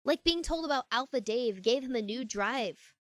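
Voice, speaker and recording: A woman's voice: a little high, animated, moderate pace, dry recording, close to an everyday voice. A woman acting an earnest, assertive young woman in a fan fiction audio drama, speaking conversationally and emphatically. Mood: chatty, fond. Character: earnest, newly assertive young woman, fed up but friendly.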